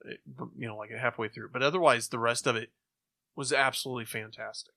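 A man co-hosting a casual chat, animated and given to acting out reactions. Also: medium pitch, brisk, no echo.

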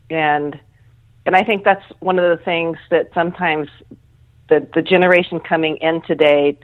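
A middle-aged woman being interviewed, explaining earnestly and informally and often rephrasing as she goes. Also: low-pitched. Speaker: interview guest, air traffic control manager. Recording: thin phone-like line.

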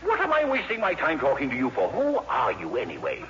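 A man's voice: on the high side, wildly swooping pitch, fairly quick, sharp tone, full character voice. A man playing a pompous, irritable, well-off father in a 1950s radio drama, with an indignant manner. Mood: irritated. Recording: muffled, noisy old 1950s radio recording.

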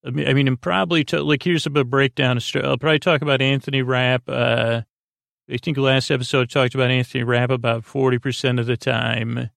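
A man hosts a bedtime podcast in a middling-pitched voice full of creak. He meanders, halts and repeats himself in a whimsical, self-deprecating way. The sound is dry, with no room around it. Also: steady tone, a little fast, fairly bright tone, no echo. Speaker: podcast host. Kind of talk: rambling, unscripted bedtime podcast monologue.

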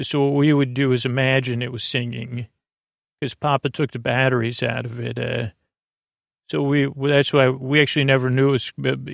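A low-voiced American man with a creaky, gravelly edge, recorded close and dry. He hosts a bedtime podcast, wandering haltingly from one tangent to the next as he eases listeners toward sleep. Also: dry recording. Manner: meandering, halting, goes off on tangents. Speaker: podcast host. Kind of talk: rambling bedtime monologue to help listeners fall asleep.

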